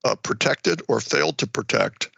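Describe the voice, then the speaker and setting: An older American man giving explanatory interview answers as an academic guest, halting and often repeating words as he goes. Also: mid-range voice, gravelly, a bit bright, slightly rough, no room sound. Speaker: retired war college professor, research guest.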